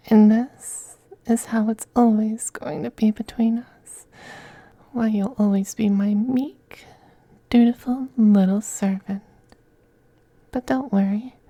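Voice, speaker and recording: Slow, whispery femboy vampire played by a man. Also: high-pitched, no echo, exaggerated voice. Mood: smug, approving. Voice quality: soft, breathy, often dropping to a whisper. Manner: slow, coaxing, condescendingly tender. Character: possessive, teasing femboy vampire, cruelly sweet master. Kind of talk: ASMR roleplay, scripted, close and intimate.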